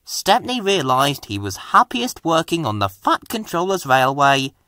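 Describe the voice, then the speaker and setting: Male storybook narrator, bright voice, slightly high, scripted delivery, dry recording. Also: sing-song, unhurried, dry recording.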